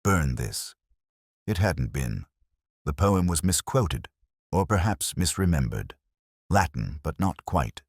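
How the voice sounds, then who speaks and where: A man playing a brooding, erudite middle-aged detective who narrates a mystery in measured, literary phrases. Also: extremely low pitch, sing-song, slightly rough, dry recording, studio quality, exaggerated voice. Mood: brooding, reflective. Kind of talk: scripted first-person mystery narration, audio drama.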